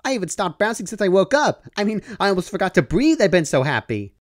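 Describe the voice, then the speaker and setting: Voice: mid-range voice, big pitch swings, fast, voice pushed up, close to an everyday voice. A narrator voicing an overexcited, gleeful young female pony who gushes in an eager, chirpy way. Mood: gleeful, reassuring.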